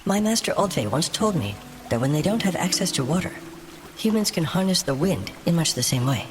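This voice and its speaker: A woman playing a curious, thoughtful half-elf adventurer in a dark fantasy audio drama, speaking in an articulate, explanatory way. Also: on the low side, sing-song, fairly quick.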